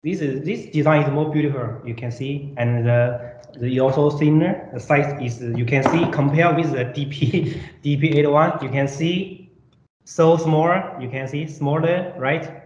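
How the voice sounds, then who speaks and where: Male product presenter, slow and halting non-native English with repeats; dull, echoey room recording with faint noise. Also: fairly high pitch, a little mellow. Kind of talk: live product demonstration. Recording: roomy echo, dull sound, faint noise.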